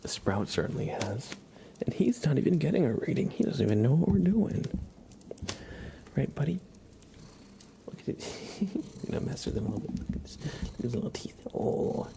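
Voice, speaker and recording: A man hosting an ASMR livestream in a soft, breathy half-whisper with a creaky low voice, playful and unhurried, close on the microphone. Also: sing-song, a little hiss or hum. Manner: playful, gently joking, unhurried. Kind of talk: ASMR tarot card reading for viewers.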